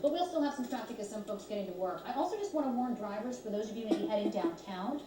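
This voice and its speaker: An American woman presents morning news and traffic quickly and chattily. Her voice comes through slightly distant in an echoey space, with other voices clearly around her. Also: fairly high pitch, animated, a crowd in the background.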